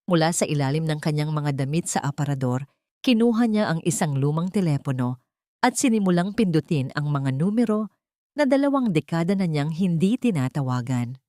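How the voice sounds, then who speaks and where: A Filipino woman narrating a scripted drama as a storyteller, with a measured, dramatic delivery. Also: deep voice, lively intonation, dark tone, no echo, clean studio sound.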